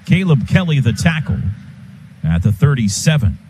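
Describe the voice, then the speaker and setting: American football play-by-play man over loud stadium noise. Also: medium pitch, sing-song. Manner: clipped, rapid phrases following the action. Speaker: radio play-by-play announcer. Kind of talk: live American football radio commentary. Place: stadium radio booth. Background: loud stadium ambience.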